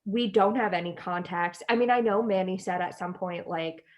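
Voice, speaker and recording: A woman's voice: fairly low pitch, normal speed. Tentative young American woman chatting casually. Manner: tentative, thinking aloud, frequent "like". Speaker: podcast co-host. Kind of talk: casual chat, discussing reality TV.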